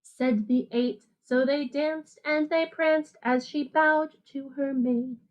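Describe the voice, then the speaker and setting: A woman teacher reading a picture book aloud to children in an animated, sing-song way, with simple, casual explanations. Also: high-pitched, slow, lo-fi sound.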